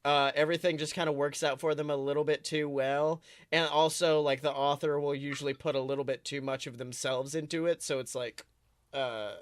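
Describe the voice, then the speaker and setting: A podcast co-host chatting casually and reading fan fiction aloud in an animated, wry way, often self-interrupting. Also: medium pitch, a little fast, no echo, clean studio sound.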